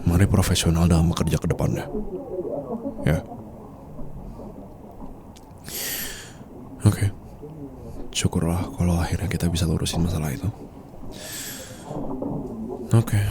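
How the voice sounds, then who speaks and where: Over faint background ambience, a low-pitched male boss acted out by a man speaks in an intimate ASMR roleplay. He is possessive and teasing, commanding yet forgiving. He talks close to the listener, coaxing and pressing with short prompting questions. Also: noisy background. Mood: insistent, forgiving, then probing, teasing.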